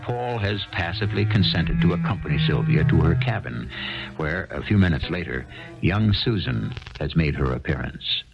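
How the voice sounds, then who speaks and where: A man narrating a 1970s radio mystery in a measured, wry, theatrical manner. His very deep, gravelly voice rises and falls expressively, with music clearly underneath. The archival sound is dull and lo-fi. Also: sing-song. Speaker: radio drama host and narrator.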